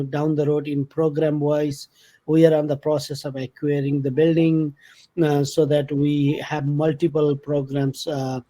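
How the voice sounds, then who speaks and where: A man talking casually on a podcast about his company, speaking in halting with many fillers and restarts. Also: on the high side, warm tone, rough recording. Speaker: company vice president. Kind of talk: casual conversational podcast talk.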